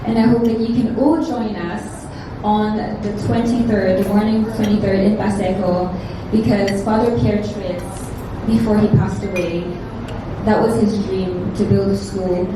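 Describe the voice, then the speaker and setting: Woman, heartfelt press-conference answer, strongly reverberant room with clear background noise. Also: mid-range voice, fairly even intonation, measured pace. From France. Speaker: pageant titleholder. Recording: echoey hall, distant mic, clear noise.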